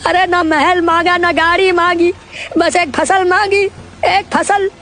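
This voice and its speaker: Woman as high-pitched, pleading poor village farmer. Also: level tone, a little slow, fairly bright tone, full character voice. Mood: anguished. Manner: plaintive, exclamatory, imploring. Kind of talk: dramatised cartoon moral story.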